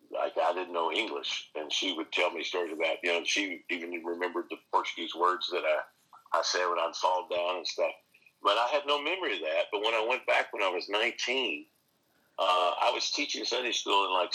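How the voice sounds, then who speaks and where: Deep-voiced man with a bright, husky edge. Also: poor audio. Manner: earnest, many restarts, animated emphasis. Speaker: pastor and seminary teacher. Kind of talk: conversational interview answers.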